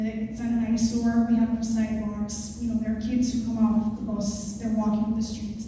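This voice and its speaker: Woman, resident, casual chatty question, flat intonation, reverberant room. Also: fairly high pitch, slight background noise. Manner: casual, chatty, lots of fillers. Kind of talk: public question at a town meeting. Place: council chamber. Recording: distant, echoey hall recording.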